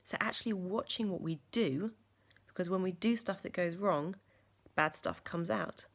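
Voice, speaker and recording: A woman, croaky from a sore chest, talking earnestly in a reflective vlog with many ums and restarts. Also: on the low side, moderate pace. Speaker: vlogger.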